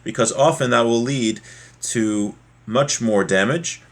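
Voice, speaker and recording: A man speaks as a religious teacher in an informal lesson. His voice sits at an average male pitch, and he explains the text in a plain, conversational manner, adding asides of his own. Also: measured pace. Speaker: religious teacher giving a class.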